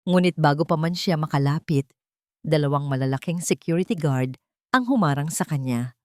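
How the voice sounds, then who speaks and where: A woman's voice: sits low, moderate pace, no echo, studio quality. A woman with a Filipino accent reading a scripted dramatic story as a storyteller.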